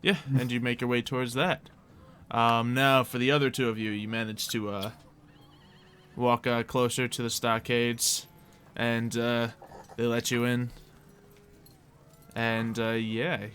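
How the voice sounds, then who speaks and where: Male game master, medium pitch, bright tone, halting improvised narration, slight background noise. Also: level tone. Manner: halting, conversational.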